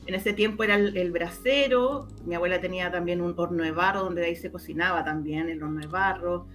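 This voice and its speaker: A woman's voice: fairly low pitch, fairly quick, music in the background. A middle-aged woman with a Chilean accent from the O'Higgins region, reminiscing casually as an interview guest, often repeating her words as she tells stories.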